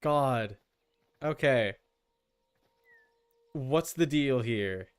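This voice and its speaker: A man's voice: medium pitch, animated, extremely slow, clean tone. A man giving casual live gaming commentary as a streamer, informal, with muttered asides and mild swearing. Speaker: video game streamer.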